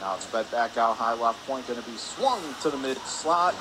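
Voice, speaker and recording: A man doing live radio play-by-play of a hockey game in brisk, clipped calls. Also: medium pitch, lively intonation. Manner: brisk clipped present-tense calls, rising on shots. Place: indoor ice rink. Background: loud arena ambience noise.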